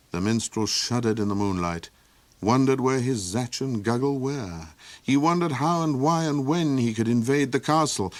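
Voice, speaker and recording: A man narrates a fantasy tale in an expressive, theatrical manner. His voice sits at an ordinary middle pitch. The sound is dry and close. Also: no echo. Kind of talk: audiobook storytelling.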